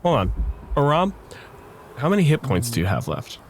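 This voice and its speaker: A man's voice: on the high side, huge pitch swings, normal speed, slight background noise. A man at a tabletop game casually talking through rules and mechanics.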